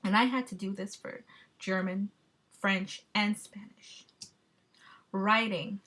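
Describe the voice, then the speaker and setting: A woman's voice: medium pitch, steady tone, bright, forceful tone. Woman reading a class presentation. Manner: measured, explanatory, with occasional fillers. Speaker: student presenter.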